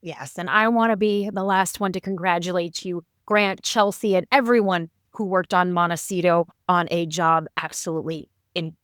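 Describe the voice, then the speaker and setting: A woman's voice: on the low side, fairly even intonation, moderate pace, hardly any pauses, fairly bright tone, clean tone, dry recording, studio quality. A woman hosting a podcast, interviewing her guests in a conversational way. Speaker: podcast host.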